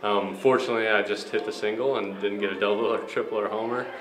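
A man's voice: on the low side, fairly even intonation, fast talker, a crowd in the background. A young man, a baseball player, answering post-game interview questions in an upbeat, humble way with many fillers. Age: young adult. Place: ballpark media room in front of a sponsor backdrop. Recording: echoey room with music behind. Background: music playing in the clubhouse.

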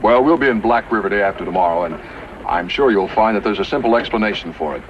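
A man acting a polite, earnest young army lieutenant in a 1950s radio western, with brisk, formal manners. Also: fairly low pitch, lively intonation, close to an everyday voice. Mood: courteous. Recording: noisy, dull 1950s radio recording.

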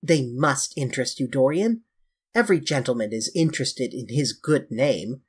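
A man voicing a grave, earnest English gentleman painter, solemn and pleading, in an audiobook story. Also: animated, normal speed, husky. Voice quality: deep.

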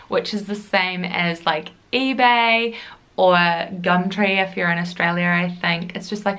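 A woman's voice: mid-range voice, a bit bright. A young woman, chatting upbeat straight to camera in her living room. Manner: chatty, upbeat, addresses viewers directly. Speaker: fitness vlogger. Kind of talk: casual talking-to-camera vlog.

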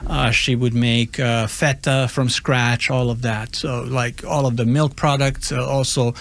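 A man's voice: mid-range voice, flat delivery, unhurried, a bit bright. Man in his forties, from Serbia, chef guest, hesitant speech, radio studio. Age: 40s. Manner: hesitant, many fillers and restarts. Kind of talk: interview storytelling. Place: radio studio, seated at table. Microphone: studio boom mic.

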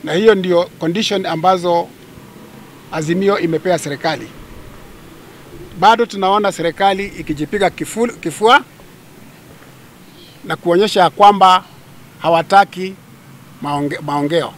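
High-pitched Kenyan man giving a press statement. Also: normal speed, pauses often. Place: outdoors among a crowd of people. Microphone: cluster of handheld press microphones. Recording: light background noise, outdoors.

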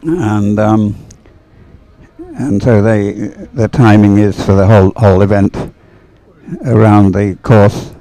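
Mellow, low-voiced man commentating live. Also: dry recording. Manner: halting, unhurried, thinking aloud. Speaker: sports commentator. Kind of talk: live, chatty race commentary.

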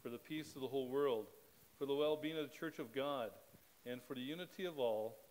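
A man's voice: mid-range voice, low-quality recording. Middle-aged man reading liturgy at a pulpit. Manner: measured, steady reading cadence. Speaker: pastor. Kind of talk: leading a spoken liturgy. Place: church sanctuary, at a pulpit. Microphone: pulpit microphone.

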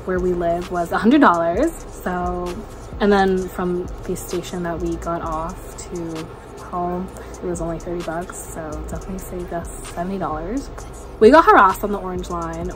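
A woman's voice: on the low side, low-quality recording. A young adult woman chatting to camera from a small bedroom, telling an upbeat story and filling it with "like" and "honestly". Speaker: vlogger. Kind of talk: casual story-time chat to camera.

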